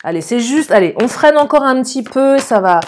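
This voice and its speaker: A slightly hoarse woman gives an informal love card reading, chatting to viewers and thinking aloud. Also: high-pitched, animated, brisk, background noise. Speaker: online love card-reading guide and host.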